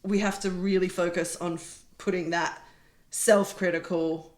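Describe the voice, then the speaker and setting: A woman with a slightly low voice speaks casually in a group interview. Her voice carries clear room echo, and she talks as an editor and producer. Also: flat delivery, measured pace. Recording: echoey room.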